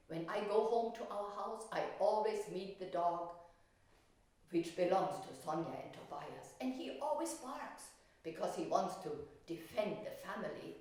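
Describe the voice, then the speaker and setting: A woman's voice: medium pitch, darkish tone. A woman in her sixties, an art teacher and storyteller, explaining things simply as if to young children in a classroom. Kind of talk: children's storytelling and painting lesson. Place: wooden-floored classroom, indoors. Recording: very echoey room, distant mic.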